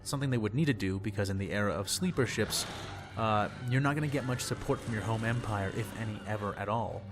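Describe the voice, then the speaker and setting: A young man, slightly hoarse, explaining fictional lore in a rambling, casual way and repeating words for emphasis. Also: fairly low pitch, quick pace, over music. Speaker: channel host.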